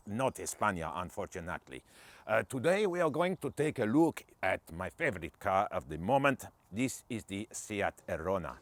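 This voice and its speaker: A man's voice: fairly low pitch, voice pushed down. A man voicing a cheeky, boastful Spanish man reviewing a car in broken English with a put-on Spanish accent, joking as he goes. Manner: jokey, broken English, lively swoops.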